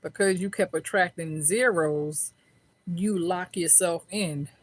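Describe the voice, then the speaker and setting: A woman's voice: lowish voice, takes it slowly. A middle-aged woman giving a casual tarot reading, talking in a chatty, folksy way, swearing freely and often checking in with "right?". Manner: colloquial American speech. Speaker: tarot reader.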